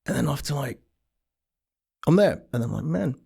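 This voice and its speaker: A man born in England and raised in Switzerland, an actor guest chatting casually and telling animated stories. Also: medium pitch, normal speed, vocal fry, husky. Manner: animated storyteller, swings between eager and soft asides. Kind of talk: casual interview chat.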